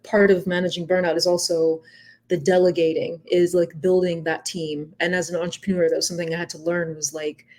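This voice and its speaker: A woman's voice: fairly low pitch, little pitch movement, a little fast, darkish tone. A woman speaking as an interview guest, earnest and emphatic, explaining things reflectively and checking in with questions. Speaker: podcast guest, entrepreneur and nonprofit founder. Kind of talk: reflective conversational interview talk.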